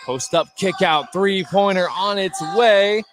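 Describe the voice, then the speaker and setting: A man's voice: high-pitched, slow. A man doing live play-by-play radio commentary on basketball, describing the action in short, clipped phrases. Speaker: play-by-play announcer. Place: indoor basketball gym, courtside broadcast. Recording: noisy gym sound, bouncing balls. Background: loud gym ambience, basketball bounces.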